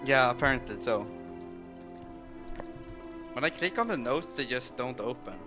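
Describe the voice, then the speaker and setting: A man playing a tabletop game, flustered, loud and full of fillers. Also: mid-range voice, animated, moderate pace, music in the background. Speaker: player. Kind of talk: tabletop roleplay over voice chat.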